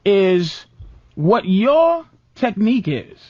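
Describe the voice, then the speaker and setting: A man's voice: high voice, very animated, slow, a little mellow, no echo, lo-fi sound. A man hosting a talk show, debating in a blunt, profane, unscripted comedic way and cutting in often. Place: dry radio studio.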